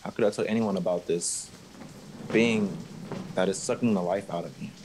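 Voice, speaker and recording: Man acting a low-voiced, tormented, haunted musician. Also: background noise, close to an everyday voice. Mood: anguished, brooding. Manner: brooding, halting, dramatic. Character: tormented male musician haunted by a sinister being. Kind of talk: 1940s radio horror acting.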